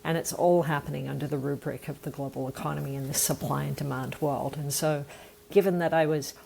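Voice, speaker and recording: A woman's voice: low-pitched, fairly even intonation, a little fast, gravelly, darkish tone, slight background noise, rough recording. A woman from Australia with an Australian accent, telling her story as a guest in an earnest, reflective way. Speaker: nonprofit founder, podcast guest. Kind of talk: conversational interview answer.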